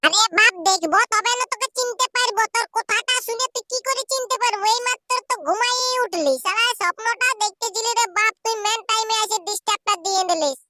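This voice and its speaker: A man's voice: extremely high pitch, fast talker, few pauses, loud music behind the voice. A man voicing a grumpy village man in a comic cartoon, grumbling and blustering with a Purulia accent.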